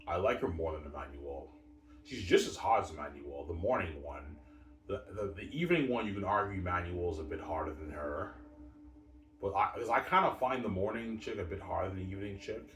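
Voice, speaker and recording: Male, 30s, very low pitch, rambling monologue vlogger, distant mic in an echoey room. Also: expressive, brisk, a bit bright. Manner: repetitive, fills with "obviously" and "you know what I'm saying". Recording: roomy and distant with faint background hiss.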